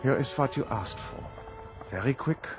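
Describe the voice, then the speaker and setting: An actor playing a cold, grim wartime military aide in a radio drama, solemn and clipped. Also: male voice, mid-range voice, normal speed, over loud music, close to an everyday voice.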